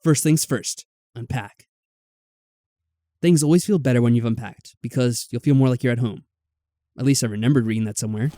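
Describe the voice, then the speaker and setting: A man's voice: medium pitch, fairly quick, long pauses, warm tone, no room sound, clean studio sound. A man reading a visual novel aloud as a let's player, dropping in wry, exasperated casual asides. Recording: background music.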